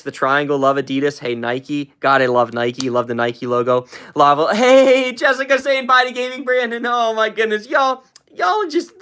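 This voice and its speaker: A streamer reading out the chat on a live broadcast. The talk meanders, full of fillers and repeats. It keeps leaping into a high, excited register to thank viewers for gifts, with very lively swings in pitch. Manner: hyped, shouting out viewers, jumps way up in pitch when thanking for gifts; rambling with many fillers and repeats.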